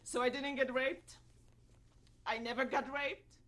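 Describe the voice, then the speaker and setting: A woman's voice: high-pitched, fairly even intonation, unhurried, fairly bright tone. A woman acting a furious, wounded young woman in a bitter, confrontational stage monologue. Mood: bitter, hurt. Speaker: contestant performing a dramatic monologue.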